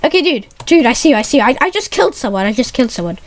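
A woman giving excited live commentary on a game she is playing with a friend, repeating her warnings and saying "dude" again and again. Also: high voice, rapid-fire. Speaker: YouTube gamer.

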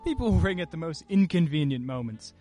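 An actor playing a troubled, irritable well-to-do husband in a radio play, clipped and indignant. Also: male voice, fairly high pitch, big pitch swings, brisk, warm tone, faint music behind. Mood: irritated.

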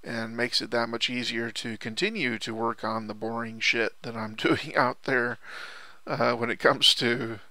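Man, bright voice, vocal fry, casual commentary, hesitant rambling, unscripted reviewer. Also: mid-range voice, little pitch movement, unhurried, no room sound. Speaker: online product reviewer.

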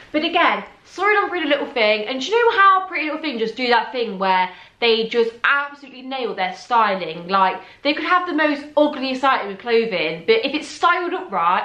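A young woman chats to her viewers in a bright, lively tone, her voice a touch worn from hours of talking. She sounds a little higher than most women. The bedroom around her leaves a clear echo on every word. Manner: chatty, addresses viewers as guys. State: slightly losing her voice. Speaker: fashion vlogger. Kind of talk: chatty clothing haul. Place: bedroom, seated on a bed.

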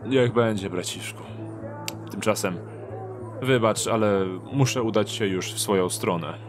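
Casting: male actor as sly rogue thief, low drawling voice, sceptical, fantasy audio drama over music. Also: a little slow, lightly acted. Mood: relaxed, resigned.

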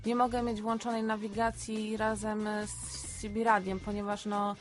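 A woman of average pitch talks as a guest on a radio show. Her delivery is informal and conversational, dotted with hesitations. Soft music can be heard faintly behind her. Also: flat delivery, moderate pace. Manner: informal, peppers talk with hesitant fillers. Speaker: radio studio guest, retailer. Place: radio studio.

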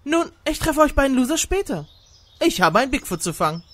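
A man's voice: very high voice, big pitch swings, a little fast, noisy background. A man dubbing a smug, scheming young rival hunter in a comedy, theatrical and taunting in his delivery. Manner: theatrical, taunting, whiny when angry. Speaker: voice actor in a fan dub. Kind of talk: exaggerated comedy fan dub.

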